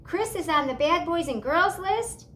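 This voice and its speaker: Storybook big sister, woman acting as a young girl: high, excitable, earnest and exclamatory. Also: unhurried, full character voice. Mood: shocked.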